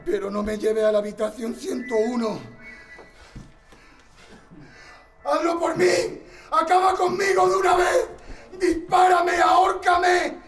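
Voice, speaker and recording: A man's voice: extremely high pitch, unhurried, roomy sound, recorded from a distance. A man playing a terrified prisoner in a radio drama, pleading desperately and frantically. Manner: pleading, breaking into frantic shouts. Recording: music behind the voice.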